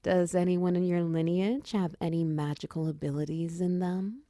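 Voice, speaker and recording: A woman voicing a friendly, chatty female minotaur wizard who mentors an apprentice in a roleplay ASMR style, encouraging and gently instructive. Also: lowish voice, steady tone, moderate pace, dry recording, studio quality, natural voice. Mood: proud, inviting.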